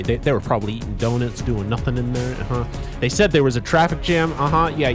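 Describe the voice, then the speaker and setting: A man narrating a story recap as a video creator, with casual commentary. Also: on the high side. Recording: music under the voice. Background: background music.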